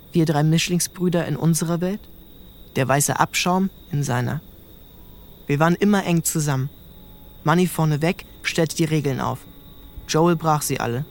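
A fairly high-voiced actor as a young man recalls his childhood as the youngest brother. He narrates a scripted drama reflectively, with faint music underneath. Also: close to an everyday voice.

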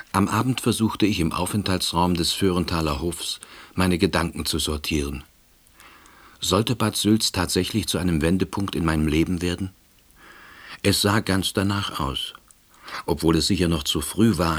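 A man's voice: sits low, slightly rough. An actor playing a timid, wistful novice salesman, narrating a radio play in a wry, understated way. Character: timid, wistful East Berlin man turned novice salesman. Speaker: narrator and lead character.

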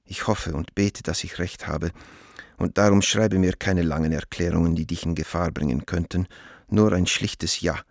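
Radio play: troubled, earnest letter writer, pleading yet measured, deep register, close dry sound. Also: male voice, steady tone, creaky, no room sound. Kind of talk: letter read aloud in radio drama.

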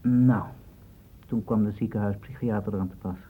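Husky, factual doctor. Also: male voice, fairly low pitch, steady tone, moderate pace. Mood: reporting, dry. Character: male doctor, factual, informed, unsentimental. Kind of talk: Dutch radio play acting. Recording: muffled, dull old radio recording.

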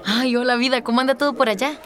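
A woman's voice: fairly high pitch, steady tone, fast talker, a bit bright, lightly acted. A woman playing a caring, determined health-centre worker in a radio drama, reassuring yet combative. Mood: surprised.

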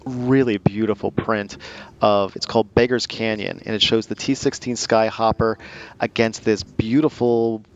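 A man's voice: lowish voice, steady tone, moderate pace, highs cut off. A man hosting a podcast in a casual, chatty style with an enthusiastic manner. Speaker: podcast host.

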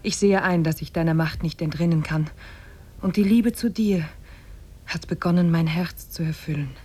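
An actress playing a cunning captive woman who feigns love, soft and seductive, in a 1950s German radio play. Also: lowish voice, moderate pace. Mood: submissive. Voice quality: very breathy, often near whispering. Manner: soft, coaxing, hesitant.

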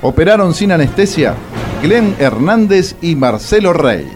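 Animated Argentine male narrator. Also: a little high, unhurried, over music. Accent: Rioplatense Argentine. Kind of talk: theatrical scripted radio drama narration.

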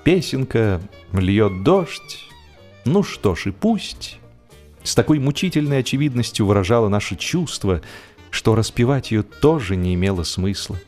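A man narrating a humorous novel expressively, with a theatrical, deliberate delivery that draws out phrases for drama. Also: fairly low pitch, expressive, darkish tone, soft background music. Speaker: audiobook narrator.

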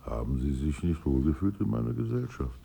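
A proud, provocative poet in a radio play. His voice is very low and gravelly, declaimed theatrically, moving between murmur and outburst. He sounds self-absorbed and nostalgic. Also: very animated, a little fast, mellow, a little hiss or hum, heavily acted. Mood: brooding. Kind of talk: literary radio drama dialogue.

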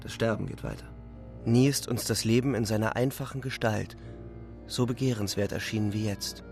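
A man playing a weary, despairing young German soldier, narrating gravely and deliberately in a literary radio play. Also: on the low side, fairly even intonation, darkish tone, soft background music. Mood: weary, reflective. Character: twenty-year-old German soldier, weary, despairing, reflective.

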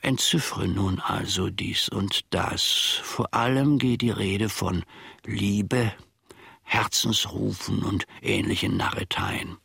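A grumbling old manservant in a radio play, secretly smug and confiding. His husky voice is heavily creaky and somewhat low, and he spins out his tale slowly and wryly. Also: very animated, pushed and bright, no room sound, heavily acted. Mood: sly, confiding. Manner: wry, conspiratorial, self-satisfied. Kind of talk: German radio play monologue, storytelling.

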